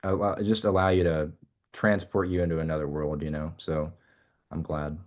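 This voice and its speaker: A man's voice: extremely low pitch, flat delivery, moderate pace. A young man, a musician guest, chatting casually in an interview and rambling with many fillers and restarts.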